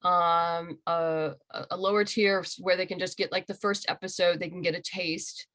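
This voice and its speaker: A woman's voice: fairly low pitch, fairly even intonation, normal speed, fairly bright tone. A woman being interviewed as a writer and creator, talking casually and enthusiastically on a video call. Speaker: interviewed comic book writer and audio drama creator.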